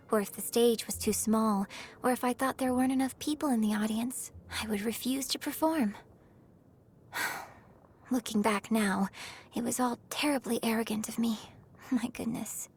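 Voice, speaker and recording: An actress playing a refined, gracious young opera star and troupe director in a video game, speaking in a formal, theatrical manner. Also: female voice, on the high side, slightly rough. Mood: reflective, rueful. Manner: genteel, theatrical, formal wording. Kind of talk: video game character voice lines.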